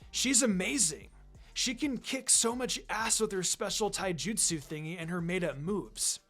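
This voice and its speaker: Worried, impulsive teen boy ninja, played by a male reader, informal delivery, animated pitch, storybook reading over music. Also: unhurried, exaggerated voice. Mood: heated. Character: hot-headed, worried teenage boy ninja.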